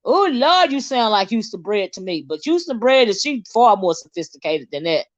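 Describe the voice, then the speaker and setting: A woman hosting a casual livestream with sassy, animated, teasing talk and frequent laughter. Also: mid-range voice, moderate pace, no echo, highs cut off. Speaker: channel host.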